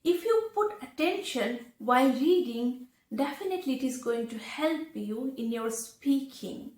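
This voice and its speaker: A woman who speaks English as a second language, giving an instructional talk to learners in deliberate short phrases with pauses. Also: high-pitched. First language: not English. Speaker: English teacher, video presenter. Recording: echoey room.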